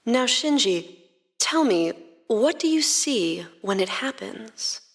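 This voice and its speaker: A woman voicing a calm, coaxing doctor with a sweet, gentle manner in a story reading. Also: on the high side, exaggerated voice. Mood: calm, probing. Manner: slow, gentle, sweetly patient. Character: soft-spoken woman doctor, calm, coaxing, falsely warm. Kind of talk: dramatized fan fiction reading.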